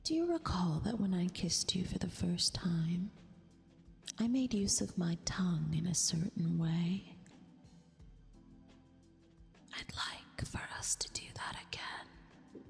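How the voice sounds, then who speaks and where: A woman's voice: fairly low pitch, expressive, unhurried, creaky, in a large hall, faint music behind. A woman playing a vain, cruel but affectionate eldritch empress in an intimate, half-whispered ASMR roleplay, haughty and teasing. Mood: seductive, smug.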